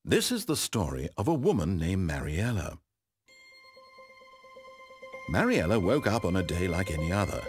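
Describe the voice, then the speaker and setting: An actor playing a composed, wry, omniscient narrator in a video game, with a British accent and deliberate, articulate, theatrical delivery. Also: male voice, low voice, huge pitch swings, husky, faint music behind, heavily acted. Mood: solemn.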